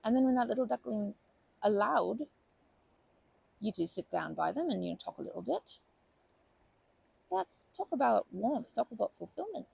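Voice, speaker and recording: A woman voicing a kindly, chatty old grandma in an ASMR comfort roleplay, rambling gently with halting phrases and doting endearments. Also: fairly high pitch, animated, dull audio, exaggerated voice. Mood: warm, delighted. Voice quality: husky, creaky voice.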